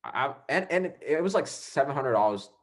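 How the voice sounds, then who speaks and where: A man's voice: mid-range voice, lively intonation, a little fast. A young man born in Vietnam, hosting a casual podcast chat in an easygoing, self-mocking way. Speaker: podcast host.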